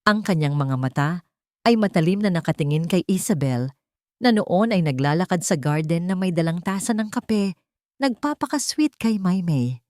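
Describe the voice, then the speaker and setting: A woman's voice: on the low side, expressive, normal speed, darkish tone, dry recording, studio quality. A woman reading a scripted romantic drama story as a narrator.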